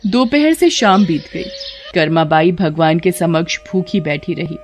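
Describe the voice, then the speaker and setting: A woman's voice: fairly low pitch, sing-song, measured pace, soft background music. A woman narrator reading a scripted devotional story.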